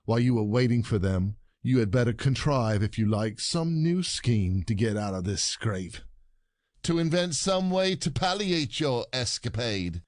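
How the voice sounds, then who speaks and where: An actor playing a jealous, gloating peasant husband in a classic comedy audio play, sardonic and declamatory. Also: male voice, mid-range voice, lively intonation, unhurried, a little mellow, no echo, clean studio sound, lightly acted. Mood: mocking, stern.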